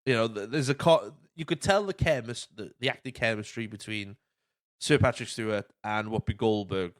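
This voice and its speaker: A man hosting a casual film podcast, retelling plots in an animated, sweary way. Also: lowish voice, normal speed. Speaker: podcast host.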